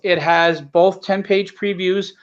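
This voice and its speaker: Man, high voice, casual promotional chat, emphatic, repeats short phrases, muffled lo-fi audio. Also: fairly even intonation, slow, smooth. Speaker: comic book creator, guest.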